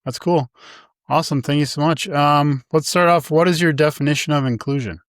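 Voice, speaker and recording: A man's voice: on the high side, fairly quick, dry recording, clean studio sound. A man hosting a podcast, greeting listeners and chatting casually with a guest in a friendly, slightly rambling way. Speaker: podcast host. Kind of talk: podcast interview.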